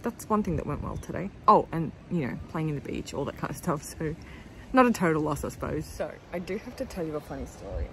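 An Australian woman in her thirties talks to a phone held at arm's length in her backyard. Her voice is lively and swings up and down in pitch, and she rambles with lots of ums and likes. There is a steady wash of outdoor noise behind her. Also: quick pace, hardly any pauses, poor audio. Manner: chatty and rambling, many fillers. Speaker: farm vlogger. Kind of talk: casual vlog chat to camera.

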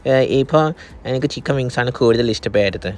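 A man's voice: medium pitch, fast, darkish tone, a little hiss or hum. A man from Kerala with a Malayali accent, talking casually as a vlogger narrating a day out. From Kerala, India.

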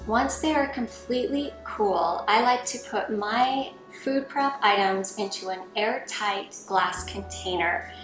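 A woman in her forties calmly walking through cooking steps in a home kitchen, talking to a camera set a few steps back. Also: mid-range voice, echoey room, rough recording. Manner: calm, friendly step-by-step instructions. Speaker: cooking vlogger. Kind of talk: cooking tutorial voice-over and talk to camera.

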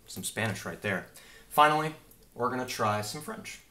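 A man's voice: medium pitch, animated, moderate pace, sharp tone. A young man in his twenties, a game developer, casually walking viewers through a demo at his desk with an easygoing, explanatory manner and plenty of filler words. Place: small home office with a desk and monitor.

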